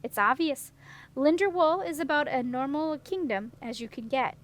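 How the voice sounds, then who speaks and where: A woman's voice: high-pitched, moderate pace, slight background noise, close to an everyday voice. Woman reading as an assured, practical young woman. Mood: matter-of-fact. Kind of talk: storybook character reading.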